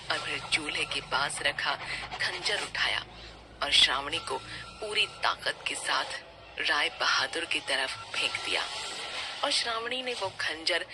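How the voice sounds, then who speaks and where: A woman narrating a scripted horror story in a dramatic style, with music under her voice. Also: low-pitched.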